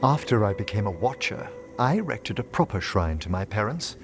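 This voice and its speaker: An actor playing a gentle, bookish gentleman necromancer in a video game, speaking in a formal, measured, eloquent way. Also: fairly low pitch, very animated, over music. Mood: wistful, solemn. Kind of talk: video game dialogue acting.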